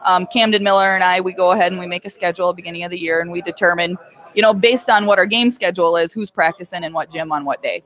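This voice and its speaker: A woman's voice: on the low side, fast talker. A woman in her thirties, a girls basketball head coach, answering interview questions in a plain-spoken way with many fillers. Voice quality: loud voice.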